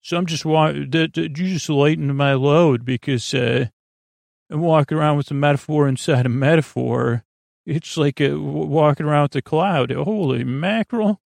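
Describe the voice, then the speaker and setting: A man hosting a bedtime podcast in a creaky, soothing voice, meandering haltingly through digressive monologue meant to lull listeners to sleep. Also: fairly high pitch, darkish tone, no echo. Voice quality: creaky, gravelly. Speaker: podcast host.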